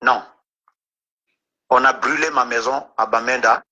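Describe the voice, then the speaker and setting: A man from Cameroon with an Anglophone Cameroonian accent speaks as a caller on a live stream. He is earnest and calming and leans on sayings. His voice is piercingly bright with a husky edge. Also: mid-range voice, unhurried, highs cut off.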